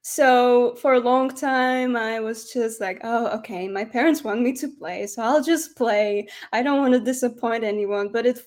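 A young woman from Slovakia with Slovak as her first language, speaking as a guest in an interview and giving soft, thoughtful answers with some hesitations. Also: fairly high pitch, moderate pace, few pauses. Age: young adult. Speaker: guest musician. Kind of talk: casual interview.

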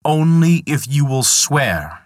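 A man doing a giant in eagle form, talking slow and bossy, a bit higher than his own voice, dry close sound. a menacing bird out of an old myth, setting terms. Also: big pitch swings, dry recording. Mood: commanding. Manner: slow, commanding, bargaining.

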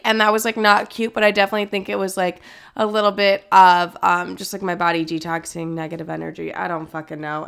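A woman's voice: medium pitch, fairly bright tone, smooth. A young woman chatting casually as a show host, telling stories in a sassy, gossipy way with dramatic emphasis and lots of filler words. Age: young adult. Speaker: host of a solo chat show. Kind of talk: casual confessional storytelling chat.

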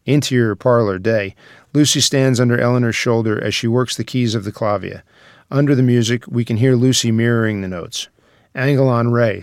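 A man narrates a screenplay's stage directions. His voice is medium-pitched and recorded very dry, with the scripted delivery of someone reading scene descriptions. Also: no room sound.

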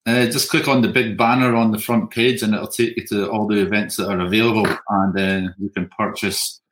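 Low-voiced businesslike man. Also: level tone, fairly quick, a bit bright. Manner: businesslike, promotional. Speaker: whiskey shop owner. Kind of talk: interview.

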